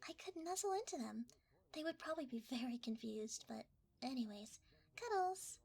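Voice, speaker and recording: A woman's voice: high-pitched, lively intonation, moderate pace, creaky, a little mellow, a little hiss or hum. A woman voicing a shy, cuddly shapeshifting creature in an ASMR roleplay, hesitant and easily flustered, often half-whispering. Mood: cozy, affectionate.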